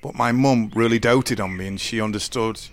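A man in his forties with a deep voice, telling his life story slowly and earnestly in an interview, often restarting his sentences. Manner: slow, halting, earnest storytelling with many restarts. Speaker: interview guest. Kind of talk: candid personal interview.

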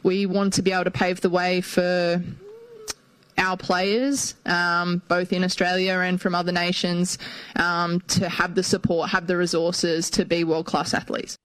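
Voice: mid-range voice, completely flat delivery, measured pace, a bit bright, smooth, no echo, poor audio. An athlete giving an earnest answer at a media conference, played as a clip on air.

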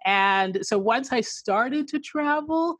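A woman's voice: sits high, expressive, slow pace, a bit bright, no room sound. A woman raised in England and Canada, a guest author answering interview questions in a chatty manner and laughing often.

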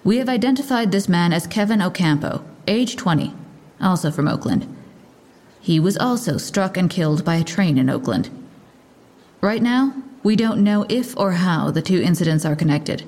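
An actress playing a brisk, sardonic police lieutenant giving a guarded press briefing in an audio drama. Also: female voice, lowish voice, animated, a little mellow. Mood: guarded, curt.

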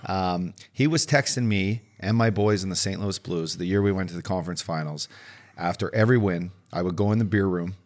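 A man from Canada, a former hockey player, co-hosting a podcast and telling relaxed, upbeat stories full of shout-outs. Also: low voice, brisk. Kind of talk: casual chat.

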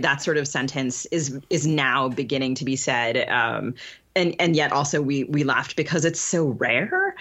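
A podcast host in a literary discussion, speaking thoughtfully and haltingly, searching for words. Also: mid-range voice, sharp tone. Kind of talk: literary discussion with reading from an essay. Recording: dull, lo-fi sound.

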